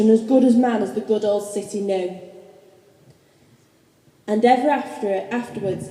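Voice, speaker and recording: Casting: female storyteller for a radio play, slightly high, very soft dark tone, recorded in a reverberant space. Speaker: narrator. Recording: echoey hall, long reverb.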